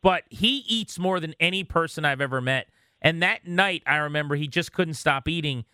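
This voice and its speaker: A man hosts casual sports talk with a bright, punchy voice pitched slightly high. He is chummy and enthusiastic. The sound is close and very dry. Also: moderate pace, smooth, no room sound. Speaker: sports radio host.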